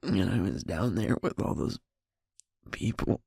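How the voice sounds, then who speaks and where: Male actor as sweet wounded werewolf boyfriend, intimate audio drama. Husky and creaky, close and dry, softly pleading. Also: low voice, animated, dry recording, full character voice. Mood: anguished, guilt-ridden. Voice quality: breathy, husky, often near whisper. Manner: halting, emotional, softly pleading.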